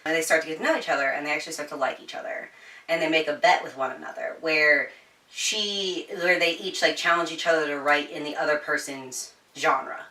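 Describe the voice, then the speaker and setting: Female host, casual book talk. Bright, forward tone, informal and giggly, recorded a bit off-mic in a roomy space. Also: low voice, brisk, recorded from a distance. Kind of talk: casual chatty book review. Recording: roomy echo, slightly off-mic.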